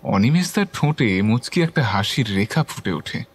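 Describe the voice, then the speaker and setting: A man's voice: fairly low pitch, animated, normal speed, husky. A man giving scripted, dramatic narration of a horror story.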